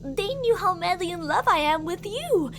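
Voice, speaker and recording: A woman voicing an obsessive, possessive young woman who holds her beloved captive, cooing sweetly with menace and snapping into shouts. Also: very high-pitched, lively intonation, moderate pace, soft background music, full character voice. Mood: menacing sweet. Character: obsessive possessive female yandere captor. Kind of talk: audio roleplay drama.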